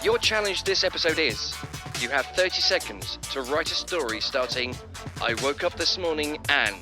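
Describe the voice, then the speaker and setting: Man as brisk male comedy sidekick, deadpan, lightish voice, music clearly behind. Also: fairly high pitch, close to an everyday voice. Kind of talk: old-time radio comedy banter.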